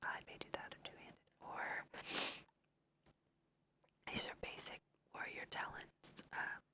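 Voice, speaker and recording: A woman speaks entirely in a breathy whisper with a very bright, sharp edge. She takes her time, unhurried and slow. Her style is casual ASMR game commentary, chatty, as if thinking aloud while she plays. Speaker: host of an ASMR let's play.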